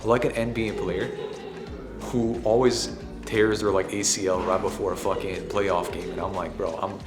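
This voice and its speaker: Brief: hoarse, low-voiced man, casual vlogger, echoey room. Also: flat delivery, normal speed, over music. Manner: casual, swears, talks softly to spare his voice. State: hoarse, recovering from voice loss. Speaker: ads agency owner hosting a workshop. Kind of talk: casual vlog talk to camera.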